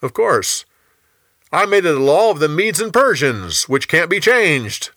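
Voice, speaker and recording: A man's voice: a little high, sing-song, a little slow, fairly bright tone, dry recording, clean studio sound, voice pushed up, full character voice. A man voicing a commanding yet earnest Persian king in a Bible story, with emphatic, proclaiming delivery. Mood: assured.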